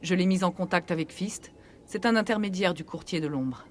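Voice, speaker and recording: An earnest, frank clinic doctor from a video game, acted by a woman. Her voice sits a little low and she explains seriously, over faint background noise. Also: moderate pace, natural voice. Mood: shaken, earnest. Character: earnest female human clinic doctor, shaken but frank.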